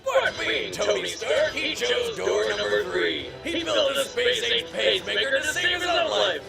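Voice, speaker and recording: Wisecracking co-host: a man with a very high, gravelly, animated voice over loud music. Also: a little slow. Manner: wisecracking, laughing through lines. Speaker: web show co-host. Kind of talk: scripted comedic narration. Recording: loud background music under voice.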